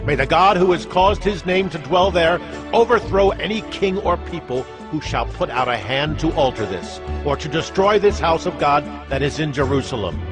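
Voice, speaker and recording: Imperious Persian king, acted by a man, fairly high voice, declamatory, royal proclamation, Bible drama, music underneath. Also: lively intonation, normal speed, close to an everyday voice. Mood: commanding.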